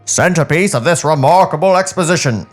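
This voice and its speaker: A man's voice: fairly high pitch, a little fast, soft background music. A man co-hosting a podcast, narrating screen directions from a script with casual asides. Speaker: podcast co-host reading a script.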